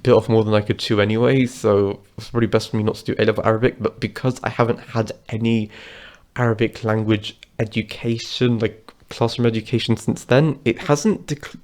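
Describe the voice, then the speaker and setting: A man's voice: medium pitch, darkish tone. Chatty young man rambling. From Britain. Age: 20s. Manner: rambling, chatty, loose and conversational. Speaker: vlogger. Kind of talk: casual personal storytelling to camera.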